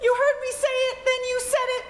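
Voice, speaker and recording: A woman's voice: very high voice, level tone, a little fast. A woman playing a bratty, teasing little girl in an old-time radio comedy, with a taunting, insistent, childlike delivery. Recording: echoey hall stage recording with faint noise.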